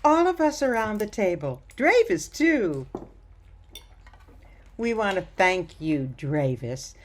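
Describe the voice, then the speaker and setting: A woman's voice: mid-range voice, very animated, slow, a little hiss or hum. A woman playing a sweet, doting elderly grandmother in a radio play, with a gushing, soothing manner. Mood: cheerful, soothing.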